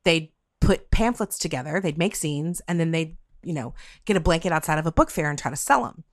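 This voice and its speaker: A middle-aged woman interviewing in a casual, conversational way, halting and repeating words as she thinks aloud. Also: low voice, animated, no room sound, clean studio sound. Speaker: podcast interviewer.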